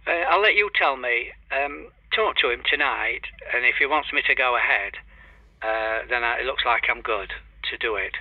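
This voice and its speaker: A man's voice: medium pitch, moderate pace, no room sound. An older man chatting in a hesitant, rambling way as an amateur radio operator. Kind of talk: casual radio chat. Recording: dull lo-fi radio link.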